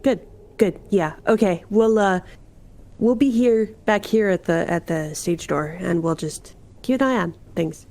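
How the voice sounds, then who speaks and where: A woman's voice: medium pitch, a little slow, darkish tone. A woman playing a tabletop roleplaying game, chatting casually in and out of character.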